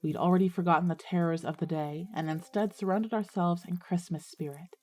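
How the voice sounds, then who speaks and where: Woman playing mellow, lowish-voiced earnest schoolgirl Marjorie. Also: fairly even intonation, fairly quick, faint music behind, lightly acted. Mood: hushed storytelling. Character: earnest teenage schoolgirl Marjorie, narrating her ghost story. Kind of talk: scripted first-person narration and audio drama dialogue.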